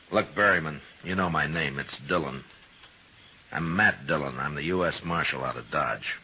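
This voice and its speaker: Frontier marshal, low and hard-edged, steady, commanding, 1950s radio western on a hissy old recording. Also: male voice, unhurried, pauses often, dull audio, heavily acted. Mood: calm, commanding.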